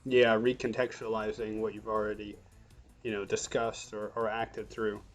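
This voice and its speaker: A man's voice: fairly low pitch, monotone, normal speed, faint music behind. A man hosting a casual chat, giving short agreeing responses and reading out viewer questions. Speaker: host.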